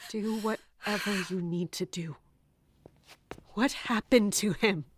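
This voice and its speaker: A woman's voice: medium pitch, slow pace. A woman playing a stern, accusing captain of guards in a fantasy audio drama. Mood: worried.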